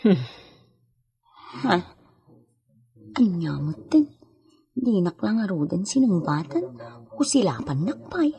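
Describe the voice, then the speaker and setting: An actress with a Filipino accent playing an earnest, deferential young servant with a husky voice in a radio drama. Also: female voice, medium pitch, big pitch swings, slow pace, pauses often, dark tone, exaggerated voice. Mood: curious, apologetic.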